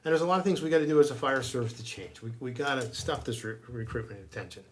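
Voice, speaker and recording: A man's voice: mid-range voice, rapid-fire, slight background noise. An American man speaking as a fire chief in a conversational interview, earnest and urging, repeating himself as he goes.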